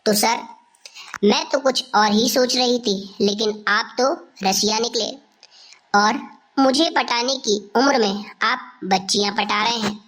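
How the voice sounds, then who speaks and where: Woman as a slow-talking, jealous schoolteacher. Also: fairly high pitch, a bit bright. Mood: hurt, scornful. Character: suspicious, jealous woman schoolteacher, accusing and proud. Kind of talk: scripted cartoon dialogue.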